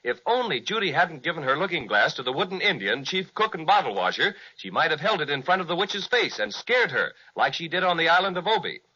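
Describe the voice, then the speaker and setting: A man narrating a children's story in the dramatic, scripted style of an old radio serial, with a sharp, slightly raspy voice. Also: fairly high pitch, very animated, brisk, hardly any pauses. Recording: muffled old radio recording.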